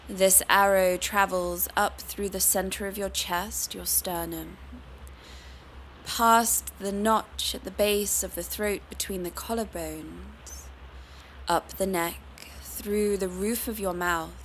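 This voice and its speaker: Woman, meditation teacher, calm instruction, very unhurried pace, mid-range pitch, light background ambience. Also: a little hiss or hum. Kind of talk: guided meditation.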